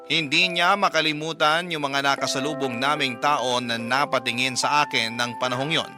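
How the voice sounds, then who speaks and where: A man's voice: medium pitch, lightly acted. A man reading a young mother's letter in the first person, narrating in a confiding, reflective way. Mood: regretful. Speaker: letter narrator in a radio drama.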